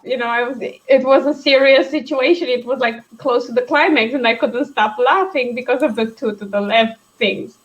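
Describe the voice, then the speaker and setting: A young woman, co-hosting a casual chat, talking quickly with frequent laughs and asides. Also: sits high, a bit bright, rough recording. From Romania. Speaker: co-host.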